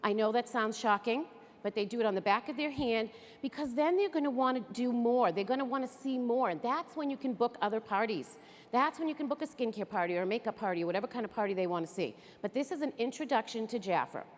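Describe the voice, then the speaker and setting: A woman's voice: a little high, lively intonation, quick pace. A woman from the area, giving an upbeat, chatty training talk to an audience. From Greater Boston area. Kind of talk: enthusiastic stage presentation. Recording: echoey hall.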